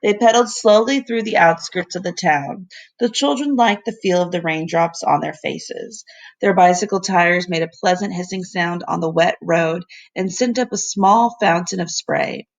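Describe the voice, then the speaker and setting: Woman reading a storybook, creaky voice. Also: fairly low pitch, moderate pace. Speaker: teacher reading aloud. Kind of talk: expressive storybook narration for children.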